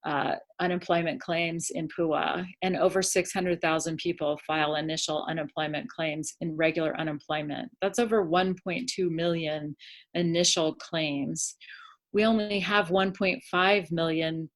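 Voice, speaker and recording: A woman agency director giving measured, somewhat hesitant official explanations in an interview. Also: low-pitched, steady tone. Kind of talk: interview answers.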